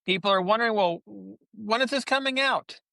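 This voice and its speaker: A man's voice: sits high, brisk, gravelly, bright, forceful tone, dry recording, clean studio sound. A man talking casually and ramblingly as a panelist in a fan discussion on a podcast.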